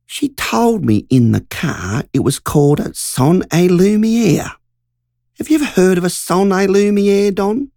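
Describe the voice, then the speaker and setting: A woman's voice: on the low side, very animated, unhurried, vocal fry, warm tone, no echo, studio quality, voice pushed up, heavily acted. A narrator voicing Margie, a shaken country woman who tells a frightening story in an urgent, breathless rush. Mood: vivid recounting.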